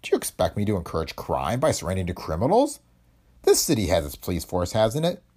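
A man's voice: low voice, wildly swooping pitch, fast, darkish tone, husky, full character voice. A man voicing a commanding middle-aged male insurance company president. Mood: firm.

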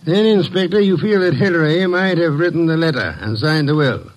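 Courtly, higher-voiced detective boss played by a man. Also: natural voice, poor audio. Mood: measured, commanding. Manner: formal, precise, deliberate speech. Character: shrewd, courtly private detective boss, male. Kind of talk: old-time radio detective drama acting.

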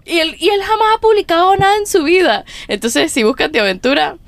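A woman in her thirties with a Venezuelan accent, a podcast guest chatting casually and telling stories with animated laughter. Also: very high voice, fast talker, vocal fry, dry recording. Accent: Venezuelan Spanish. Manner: animated, laughs often, lively storytelling. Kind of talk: casual chat. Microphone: podcast microphone.

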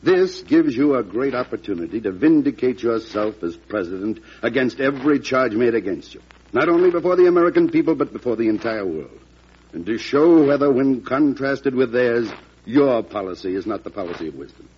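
An actor playing a solemn, loyal defense lawyer in an old-time radio drama, speaking in a formal, measured, oratorical way. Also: male voice, medium pitch, lively intonation, a little mellow, breathy edge. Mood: earnest, solemn. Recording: muffled, noisy vintage radio recording.

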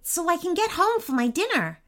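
A woman's voice: very high-pitched, big pitch swings, normal speed, pitched above the performer's own voice. A woman voicing a polite, nervous young boy in a story, hesitant, earnest and hopeful. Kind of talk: storybook character voice.

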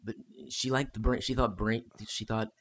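A man's voice: fairly low pitch, fairly even intonation, moderate pace. A man telling history stories in a casual, conversational way as a podcast guest. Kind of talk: casual chat, storytelling about history.

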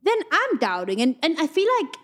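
Woman w a higher voice, easy agreeable chat, reads out the questions. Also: very animated, fairly quick, fairly bright tone. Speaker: podcast co-host, entrepreneur. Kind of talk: casual couple Q&A chat.

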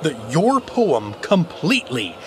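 An actor playing a clueless but cocky, bluffing underdog hero in a comedic audio drama. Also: male voice, a little high, wildly swooping pitch, slow pace, fairly bright tone, slight background noise, heavily acted.

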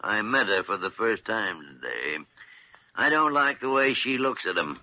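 Gravelly old meddling physician, blustery, animated delivery, dry muffled vintage radio sound. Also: male voice, lowish voice, moderate pace, dry recording. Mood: grumbling. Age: older. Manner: blustering, grumbling, sly. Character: crotchety, meddling old male senior hospital doctor. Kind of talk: old-time radio drama acting.

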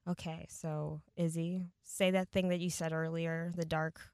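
A woman's voice: deep voice, level tone, measured pace, smooth, dry recording, studio quality. Woman podcast host leading the chat. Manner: warm affirming interjections, leads the talk. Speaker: podcast host and radio traffic reporter.

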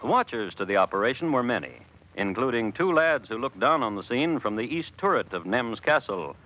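A man's voice: mid-range voice, animated, a little fast, sharp tone, highs cut off. A man delivering dramatic scripted narration in a classic radio drama. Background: music.

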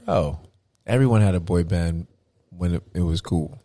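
A man's voice: low voice, moderate pace, mellow, no echo. A man co-hosting a podcast in casual chat, teasing his co-host and laughing often.

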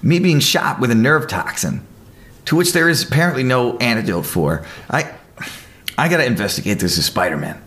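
An actor playing an earnest, awkward young superhero in an audio drama, with a halting, stammering delivery. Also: male voice, mid-range voice, animated, fairly bright tone, natural voice. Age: young adult.

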